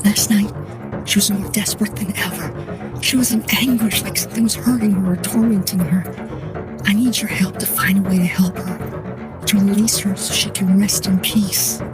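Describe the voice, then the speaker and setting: A woman in her thirties playing an earnest, troubled client who recounts her haunting in a measured, scripted dramatic monologue. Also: mid-range voice, fairly even intonation, close to an everyday voice. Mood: worried, pleading. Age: 30s. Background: soft music.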